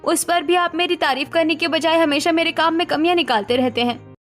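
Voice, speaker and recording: A woman's voice: extremely high pitch, fairly quick, faint music behind. A woman playing an overworked housewife in a cartoon story, plaintive and hurt. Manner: plaintive, complaining.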